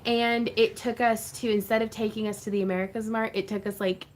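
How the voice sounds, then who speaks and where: A woman's voice: a little high, level tone, brisk. Chatty woman hosting a craft livestream. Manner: chatty, laughs often, greets viewers. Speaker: craft channel host. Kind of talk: casual livestream chat.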